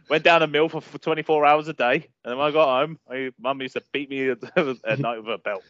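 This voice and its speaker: A man's voice: on the high side, moderate pace, fairly bright tone, dry recording. A man co-hosting a podcast, joking and laughing often in casual banter. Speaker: podcast co-host. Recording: muffled lo-fi podcast audio.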